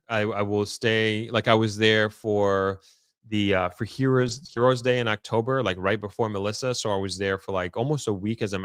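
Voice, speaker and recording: Male livestream host, lower voice, tired and informal, halting delivery, dry close sound. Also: a bit bright, no echo. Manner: informal, halting, thinking aloud. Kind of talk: casual livestream chat, reading out viewer comments.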